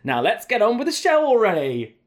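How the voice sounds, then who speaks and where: A man's voice: high voice, wildly swooping pitch, a little fast, dry recording. Dry-sounding man, chatty podcaster. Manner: rambling, laughing often. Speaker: podcast co-host, journalist. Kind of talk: casual comedic chat.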